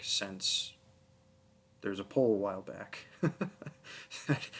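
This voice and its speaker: Quiet guy chatting to his listeners, lowish voice w some fry, earnest, kinda hesitant. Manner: soft-spoken, hesitant, earnest. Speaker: audio roleplay creator. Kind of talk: casual sign-off to listeners.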